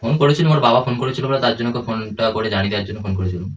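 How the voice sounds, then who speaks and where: A man's voice: medium pitch, very fast. A young man chatting casually as a prank caller, in a teasing, coaxing manner. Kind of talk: casual phone chat. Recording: distant mic, faint background noise.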